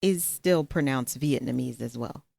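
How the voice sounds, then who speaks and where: A woman's voice: very deep voice, measured pace, vocal fry, mellow, slightly rough. A woman hosting an interview, asking direct, probing follow-up questions. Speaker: podcast host.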